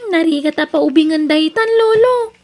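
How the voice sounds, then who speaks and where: An actress playing a cheeky young grandchild with an Ilocano accent, speaking frankly in a comedic radio-style drama. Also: female voice, extremely high pitch, normal speed.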